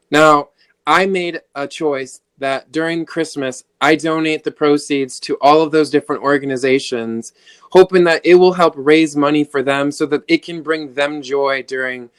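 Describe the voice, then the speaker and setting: A man's voice: on the high side, clear, resonant tone, no echo. Earnest, grateful young man. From United States. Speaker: singer-songwriter guest. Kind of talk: interview over video call. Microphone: video call.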